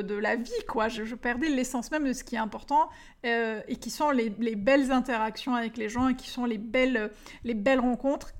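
A woman in her thirties from France hosting a solo podcast as a dating coach, talking eagerly to the listener with many fillers and restarts. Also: fairly high pitch. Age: 30s.

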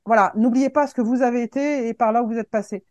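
A woman in her fifties, a guest on a podcast, speaking in a casual, earnest and encouraging way, halting often to restart her sentences. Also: fairly high pitch, steady tone, a little mellow, dry recording. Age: 50s. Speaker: guest, web agency founder.

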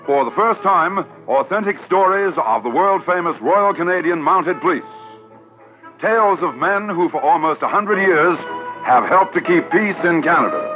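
A man's voice: sits high, animated, normal speed. A man announcing a 1950s radio drama in a grand, declamatory way on an old radio recording. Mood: grand, solemn. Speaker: series announcer. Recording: tinny, hissy 1950s radio transcription. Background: brass music.

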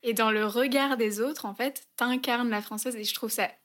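A woman's voice: on the high side, steady tone, moderate pace, fairly bright tone. A young woman from France, co-hosting a podcast in casual chat, hesitant and thinking aloud with lots of fillers. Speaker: podcast co-host.

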